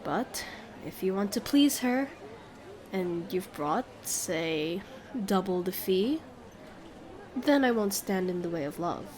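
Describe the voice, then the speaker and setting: A woman playing a sly, mercenary keeper of a captive siren in a fantasy audio roleplay, unhurried and mocking. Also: mid-range voice, expressive, darkish tone. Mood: warning, coaxing. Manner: unhurried, smooth-talking, mocking.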